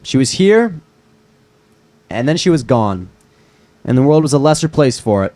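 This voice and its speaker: A man acts out a young man wounded by lost love, in a drama read from a script. His voice is mid-pitched. He speaks earnestly and reflectively, with grief and quiet resolve. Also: big pitch swings, moderate pace, natural voice. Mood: grieving, reflective. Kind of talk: screenplay table read.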